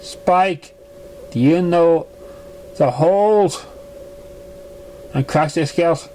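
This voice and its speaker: Concerned mare read by a man for a storybook, reproachful, raised pitch, soft timbre, faint noise. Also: takes it slowly. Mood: worried.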